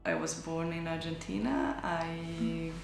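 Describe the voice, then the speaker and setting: Gravelly-voiced woman in an echoey hall. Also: low-pitched, fairly even intonation, slow pace, soft background music. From Brazil. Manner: thoughtful, searching for words, self-correcting. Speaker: artist. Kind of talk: interview about her artwork. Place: large gallery hall indoors.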